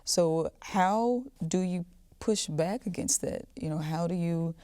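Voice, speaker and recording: A woman's voice: on the low side, takes it slowly, warm tone, clear, resonant tone, no echo. A woman hosting a podcast, interviewing guests in a conversational style. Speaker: podcast host.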